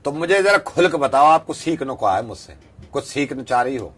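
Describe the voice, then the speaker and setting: A man acts out a brash, folksy professor for a radio prank, speaking at a middling pitch in a rural western Uttar Pradesh accent. He rambles and repeats himself, utterly deadpan and certain of his expertise. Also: a little fast. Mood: deadpan, self-assured. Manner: rambling, repetitive, bluntly folksy. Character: male fake foreign-trained professor, rustic, brash, self-assured. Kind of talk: deadpan prank-call character.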